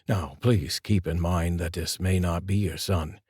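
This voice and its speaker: A male storyteller voicing a calm, formal police detective who speaks in a measured, soft tone. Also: low voice, breathy edge, no echo, clean studio sound, heavily acted. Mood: somber.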